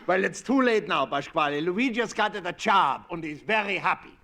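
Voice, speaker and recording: An actor playing a stubborn, blunt neighbor with a dismissive manner, in the style of 1940s radio comedy. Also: male voice, high voice, animated, moderate pace, sharp tone, heavily acted.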